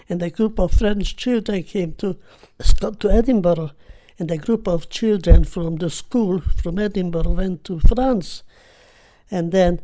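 An older woman from Hungary with a Hungarian accent, recalling her life in an unhurried, reflective way during an oral history interview. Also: mid-range voice, mellow. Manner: unhurried, reflective, simple phrasing.

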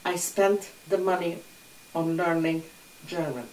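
A woman's voice: fairly low pitch, fairly even intonation, a little slow, a little mellow. Older woman recalling, distant and roomy. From Hungary. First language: Hungarian. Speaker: interviewee. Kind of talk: oral history recollection. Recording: roomy echo, distant mic.

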